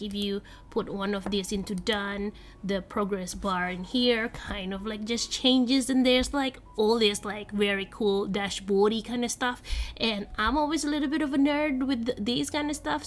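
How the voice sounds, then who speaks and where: A young woman with a congested voice, chatting casually off camera as she walks viewers through her planning template. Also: mid-range voice. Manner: chatty, rambling, giggly. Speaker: vlogger. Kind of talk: casual voice-over walkthrough. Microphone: voice-over, off camera.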